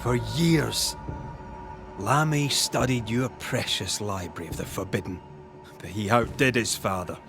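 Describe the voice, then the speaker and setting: Gravelly, weary ghost hunter. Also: male voice, on the low side, over music. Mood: grave, explaining. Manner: measured, emphatic. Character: weary, blunt male ghost hunter, stern and morally accusing. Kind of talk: dramatic video game acting.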